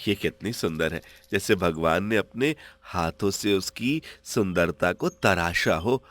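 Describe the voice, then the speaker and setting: Guy doing humble lovestruck village blacksmith, cartoon story, lowish voice, slow and earnest. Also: fairly bright tone, no echo. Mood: smitten. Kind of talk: Hindi cartoon story dialogue.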